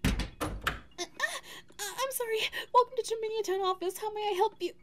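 A woman's voice: very high-pitched, moderate pace, background noise. A woman voicing a sweet, anxious female dog secretary with a crush, speaking hesitantly in an ASMR roleplay. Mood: startled, flustered. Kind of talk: ASMR audio roleplay monologue. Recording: echoey reverberant sound with background music. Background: music.

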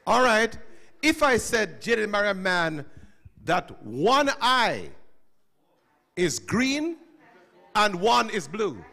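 Animated high-pitched man addressing students. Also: takes it slowly, creaky, long pauses. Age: middle-aged. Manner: animated, questioning, calls on listeners. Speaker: teacher speaking at a school chapel service. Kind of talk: interactive talk to students. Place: school chapel hall. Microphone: handheld microphone.

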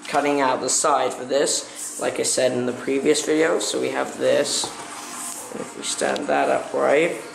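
Casual hobbyist man, slightly croaky, flat delivery, hesitant and rambling; echoey room with music behind. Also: mid-range voice, unhurried. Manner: rambling, hesitant, many fillers. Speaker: stop-motion hobbyist vlogger. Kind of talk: casual craft vlog narration. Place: indoor room at a work table.

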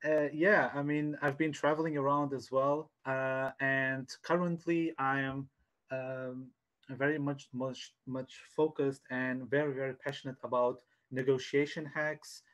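A man leads an online webinar as a coach. His pitch sits in the middle range. He speaks haltingly, often repeating a word as he feels for the next one, and is recorded on a headset. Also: little pitch movement, a bit bright. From Portugal. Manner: hesitant, halting, repeats words. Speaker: sales coach giving a webinar. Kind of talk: informal online presentation. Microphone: headset on a video call.

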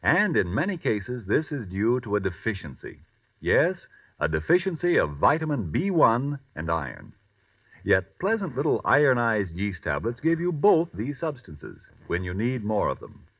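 Casting: polished American man, 1940s ad pitch, lowish voice with big melodic swings, old lo-fi radio sound. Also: wildly swooping pitch, normal speed, dry recording, far from the mic. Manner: polished, emphatic salesmanship. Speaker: commercial announcer. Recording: dull old radio recording.

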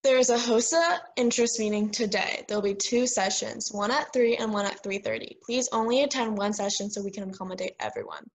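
A woman's voice: mid-range voice, big pitch swings, a little fast, hardly any pauses. Young woman reading club notices. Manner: reading a script. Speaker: student announcer. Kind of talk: school announcements.

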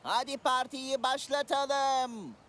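A high-pitched, excited party announcer from a cartoon kingdom. The delivery is a showy stage announcement, with loud electronic music underneath. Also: female voice, takes it slowly. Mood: festive, showy.

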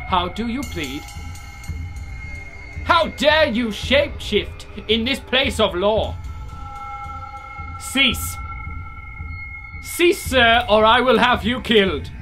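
A man playing an outraged space council judge who issues threats and shouts. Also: very high-pitched, animated, takes it slowly, pauses often, faint music behind, voice pushed up, exaggerated voice.

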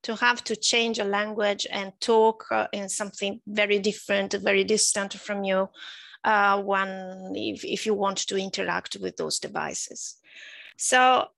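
A middle-aged woman with an Italian accent, a native Italian speaker, speaking on a panel over a video call with a headset, measured and thoughtful with frequent hesitations. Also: medium pitch, little pitch movement, creaky, a bit bright, no echo. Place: indoor home office.

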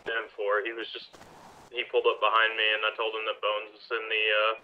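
Low-voiced man on muffled radio. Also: monotone, a little fast. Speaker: police officer player in roleplay game. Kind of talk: casual roleplay radio chatter. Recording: dull lo-fi radio, faint noise.

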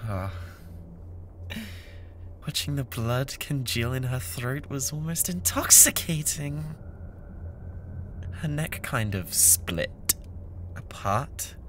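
A man's voice: medium pitch, animated, fairly bright tone, slight background noise. A British man playing an obsessive, unhinged young yandere classmate in an intimate, menacing ASMR roleplay. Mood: gloating, sinister. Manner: menacing, intimate, slow and theatrical.

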